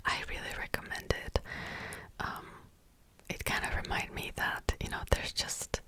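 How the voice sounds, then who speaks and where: A woman's voice: measured pace, pushed and bright. A young woman whispering a rambling, casual chat about books as an ASMR host, close to the mic, with many fillers. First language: Czech.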